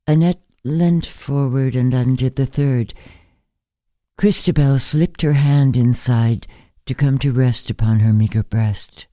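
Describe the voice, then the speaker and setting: A man narrating a literary short story in a measured, gentle, deliberate way. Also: medium pitch, steady tone, no echo. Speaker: narrator, fiction reader.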